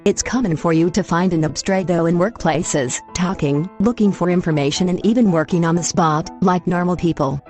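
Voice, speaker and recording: A woman's voice: fairly low pitch, normal speed, hardly any pauses, darkish tone, faint music behind, close to an everyday voice. A woman playing a television news reporter, delivering a scripted report in a matter-of-fact, informative way. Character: matter-of-fact female TV field reporter on eerie incidents.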